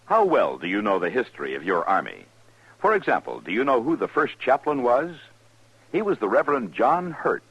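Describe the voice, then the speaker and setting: Bright, animated 1950s radio announcer man. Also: medium pitch, moderate pace, a little hiss or hum. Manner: formal, declamatory. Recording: dull vintage radio recording.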